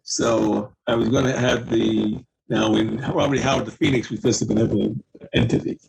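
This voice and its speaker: A man speaking as a guest author, casually explaining his own fiction in a halting, explanatory way. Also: on the low side, flat delivery, vocal fry, darkish tone, slight background noise, rough recording.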